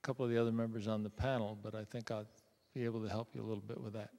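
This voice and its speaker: An older man introducing himself formally to a public panel, courteous and deliberate, like a retired officer. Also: lowish voice, level tone, background noise. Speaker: panelist, retired military officer and school principal. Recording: reverberant hall sound.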